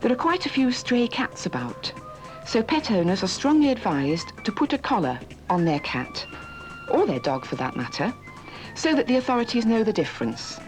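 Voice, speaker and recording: A woman's voice: fairly high pitch, sing-song, normal speed, faint music behind, low-quality recording. A woman reading a scripted public announcement as a radio announcer.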